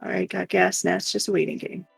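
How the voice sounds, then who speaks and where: A woman's voice: on the low side, steady tone, fast, vocal fry, slightly rough, no echo, faint music behind. A woman co-hosting a livestream in casual, rambling chat. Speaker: livestream co-host.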